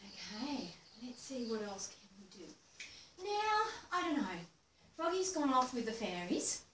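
A woman's voice: on the high side, big pitch swings, background noise. A middle-aged woman leading children's movement exercises, telling a playful story, filmed by a far camera in a small room. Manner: playful storytelling, slow and drawn-out. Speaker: movement teacher. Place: small furnished room indoors. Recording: roomy sound from a distant camera.

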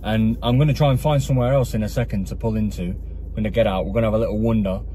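A man's voice: fairly low pitch, fairly even intonation, racing, warm tone. Chatty man vlogging, noisy recording. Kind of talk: casual vlog commentary to viewers. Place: outdoors in open countryside and inside a car. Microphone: phone camera. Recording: noisy outdoor and in-car phone recording.

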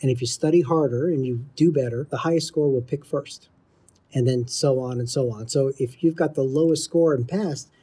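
A man's voice: medium pitch, moderate pace, warm tone, slightly rough. A middle-aged man explaining training methodically, as an instructor answering interview questions. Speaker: air traffic control instructor, interview guest.

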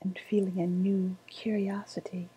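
A woman playing a sly woodland witch in an ASMR roleplay, speaking in a soft, breathy whisper with a deliberate, coaxing manner. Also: medium pitch, level tone, low-quality recording. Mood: conspiratorial. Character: sly woodland witch, dealing in dark love magic.

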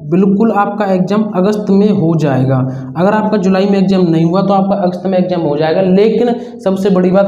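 A man's voice: high voice, dark tone. A man in his twenties from northern India giving an informal, earnest explainer to students as an exam coach, often repeating his points. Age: 20s. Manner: repetitive, earnest advising. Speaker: exam-preparation coach and video host. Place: small indoor room with whiteboard. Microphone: clip-on lapel mic. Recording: echoey room, dull muffled sound.

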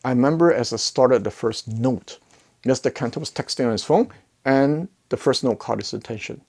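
Middle aged guy doing a casual review vid, chatty, stresses his words, normal pitched voice, lapel mic. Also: moderate pace, a little mellow, slightly rough. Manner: conversational, emphatic, repeats words for emphasis. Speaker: host of an audio gear review channel. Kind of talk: casual product review to camera. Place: living room listening space with acoustic wall panels. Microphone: clip-on lapel mic.

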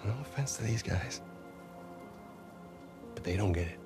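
A man's voice: lowish voice, animated, a little slow. An American man voicing a stubborn, restless male treasure hunter in a video game cutscene, urgent and persuasive. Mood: insistent, excited. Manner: urgent, insistent, stammering when pushed. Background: music.

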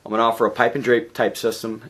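A man in his forties, hoarse from a cold, giving a rambling, casual video update from his garage workshop. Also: lowish voice, flat delivery, fast, fairly bright tone. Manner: rambling, chatty, lots of fillers and restarts. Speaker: DJ vlogger. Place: home garage workshop, indoors.

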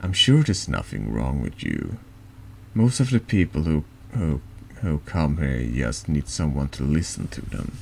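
A man voicing a gentle, weary priest in a horror game, reassuring and hesitant as he hears confession. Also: rumbling low, very animated, a little slow, creaky, a little hiss or hum, full character voice. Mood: patient, reassuring.

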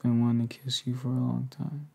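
A young man speaks softly and hesitantly in an intimate, breathy ASMR boyfriend roleplay, tender and low-key. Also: level tone, brisk. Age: young adult. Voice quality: soft, breathy, gravelly. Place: indoors, lying on a bed in a bedroom.

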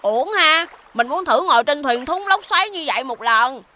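A woman voicing a bold, restless child inventor in an animated cartoon, brash and expressive. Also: sits high, moderate pace. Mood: wistful, confident. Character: bold, restless child inventor, easily bored, quick to enthuse.

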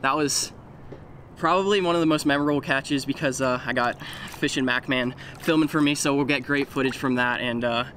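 A young man vlogging outdoors while wading in water, excitable and out of breath, talking into an action camera mic with outdoor noise behind him. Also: mid-range voice, brisk. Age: young adult. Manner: excitable, exclaiming loudly at catches. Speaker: fishing vlogger. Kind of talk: excited outdoor vlog narration.

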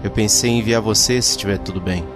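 A man's voice: fairly low pitch, steady tone, fast, over music, natural voice. A man playing a businesslike police superior giving orders, with a Brazilian accent, in an audio drama.

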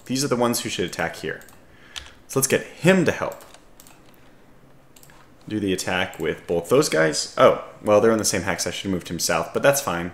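A man with a scratchy voice giving casual strategy game commentary, calmly thinking aloud as he analyses his options. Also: fairly low pitch, moderate pace, vocal fry, pauses often, roomy sound. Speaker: gaming video host.

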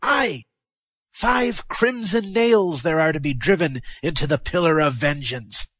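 A man voicing a fierce, wounded warrior, loud and fervent in a declamatory way, raised in pitch above his narrating voice. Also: lively intonation, measured pace, no room sound, clean studio sound. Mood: exultant. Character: fierce wounded male warrior, exultant and vengeful.

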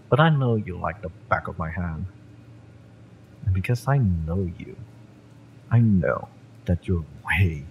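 A male actor plays a taunting cyberpunk mercenary in an intimate audio roleplay, with a deep voice that swings expressively. He mocks the listener in a menacing drawl full of dramatic pauses, over a faint rumbling noise. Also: poor audio. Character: fiery, taunting male mercenary, spurned former bodyguard.

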